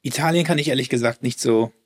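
A man's voice: mid-range voice, fast talker. A man, a German native speaker and violinist, talking as a guest in a casual interview, hesitant with many restarts and fillers.

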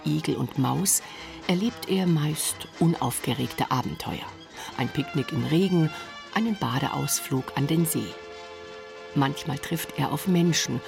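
Low, gravelly woman narrating. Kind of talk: scripted radio documentary narration.

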